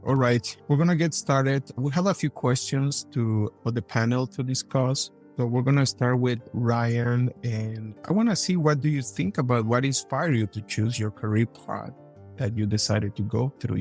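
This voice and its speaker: A man's voice: medium pitch, gravelly, darkish tone. Man moderating a video panel. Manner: brief, upbeat prompts. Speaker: podcast host.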